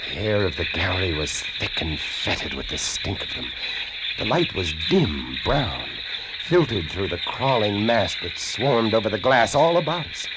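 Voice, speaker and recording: A man's voice: fairly low pitch, big pitch swings, moderate pace, heavy background noise. A man acting as a haunted lighthouse keeper, narrating a vintage radio horror tale in the first person, savoring its gruesome details. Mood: horrified, hushed. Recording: vintage radio drama with music behind.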